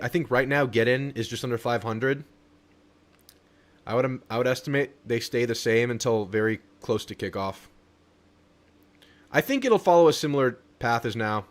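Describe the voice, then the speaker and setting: A man's voice: medium pitch, a little fast, long pauses. A young man hosting a casual live stream, reading viewer messages aloud and answering them. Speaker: livestream host.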